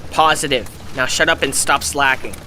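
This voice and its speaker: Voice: mid-range voice, steady tone, moderate pace, very noisy, natural voice. An actor playing a bossy, surly male cartoon creature in an amateur audio drama, curt and sarcastic.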